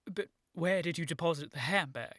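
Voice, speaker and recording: An earnest young gentleman in a comic radio play. The voice is lowish and closely recorded, formal and urgent, prone to stammer when agitated. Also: normal speed, dry recording, studio quality. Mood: urgent, insistent. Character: earnest, excitable young gentleman seeking his origins. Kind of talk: radio play acting, period comedy.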